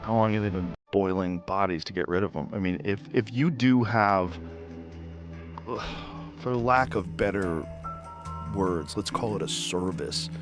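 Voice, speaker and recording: A man's voice: low voice, a little slow, music in the background. A man in casual, conversational discussion, like a podcast speaker.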